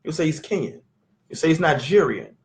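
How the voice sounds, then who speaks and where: A man, teaching scripture in an animated, questioning way at a family sit-down. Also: fairly high pitch, creaky. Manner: emphatic, questioning, drawn-out teaching. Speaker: Bible teacher. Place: living room at a family sit-down.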